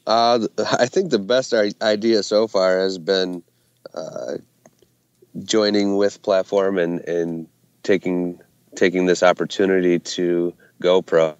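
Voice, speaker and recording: Low, flat-voiced man, hesitant talker. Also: slow, clean tone, no room sound, muffled recording. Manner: hesitant, many fillers and restarts. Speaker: brewery co-founder, guest. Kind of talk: casual interview answers. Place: Ohio hometown brewery.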